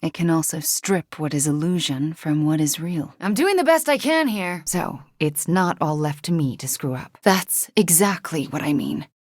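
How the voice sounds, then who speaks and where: A woman voicing a young video game sorceress, guilt-ridden, wry and guarded, with an earnest, dry delivery that often drops into a hush. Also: deep voice, big pitch swings, moderate pace, few pauses, dry recording. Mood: earnest, reflective. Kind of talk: acted video game dialogue.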